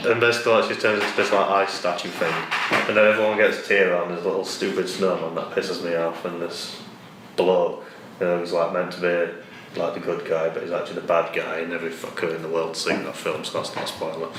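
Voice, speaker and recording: A man's voice: low voice, level tone, brisk, fairly bright tone. A man, chatting casually about films in a blunt, opinionated, sweary way. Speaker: co-host. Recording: echoey room, distant mic, faint noise.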